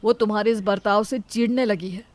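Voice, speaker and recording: A woman voicing a concerned husband in a moral story, measured and cautioning. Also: mid-range voice, steady tone, lightly acted. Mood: concerned, serious. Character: concerned husband, adult man, reasoning with wife. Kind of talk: Hindi moral story character dialogue.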